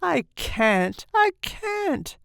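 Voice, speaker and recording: A woman's voice: extremely high pitch, big pitch swings, very slow, gravelly, fairly bright tone, dry recording, clean studio sound, pitched above the performer's own voice, exaggerated voice. A woman voicing a frantic, horrified man, a new father in a storybook, in an outraged, gasping manner.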